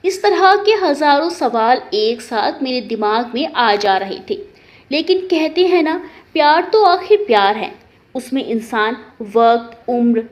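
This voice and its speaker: A woman narrating an emotional first-person story in an expressive, dramatic way. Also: sits high.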